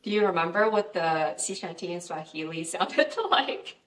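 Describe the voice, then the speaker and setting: A woman's voice: fairly low pitch, normal speed, slight background noise. Hesitant interviewer woman, distant echoey mic. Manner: hesitant, many fillers. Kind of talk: interview questions.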